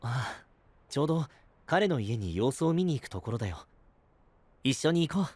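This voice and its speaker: A man playing a dutiful guardian knight in an anime-style voice drama, grave, gentle and earnest. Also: medium pitch, very animated, normal speed, no room sound.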